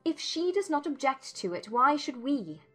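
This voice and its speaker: A woman voicing a witty, defiant young gentlewoman in a dramatized classic novel, speaking in arch, formal, exclamatory phrases. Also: high voice, big pitch swings, normal speed, faint music behind, pitched above the performer's own voice. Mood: arch, challenging.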